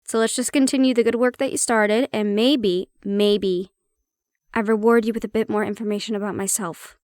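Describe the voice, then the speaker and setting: A woman's voice: medium pitch, steady tone, moderate pace, a little mellow, no echo, studio quality, lightly acted. A woman playing a prickly, guarded banshee-siren hybrid in an intimate audio roleplay, explaining herself conversationally with some teasing.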